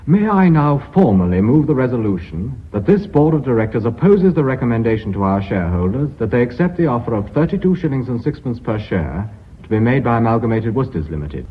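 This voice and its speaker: An actor playing a formal, determined company director in a radio drama, with an English accent and a deliberate manner. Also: male voice, mid-range voice, sing-song, breathy edge. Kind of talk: BBC radio drama acting. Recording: dull old radio recording, faint hiss.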